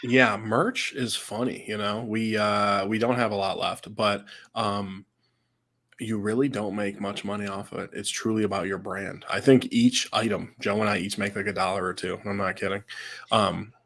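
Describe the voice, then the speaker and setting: A man's voice: lowish voice, little pitch movement, normal speed, a bit bright. A man co-hosting a casual livestream chat, easygoing and chatty with lots of fillers, sounding a little stuffed from a cold. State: fighting a cold, slightly stuffed. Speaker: co-host.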